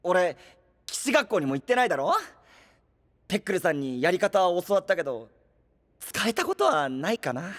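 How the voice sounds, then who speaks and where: An actor playing an earnest, self-doubting young knight in an anime voice drama, with hesitant, boyish, casual speech. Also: male voice, high voice, big pitch swings, moderate pace, long pauses, fairly bright tone. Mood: flustered. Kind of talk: Japanese anime voice drama acting.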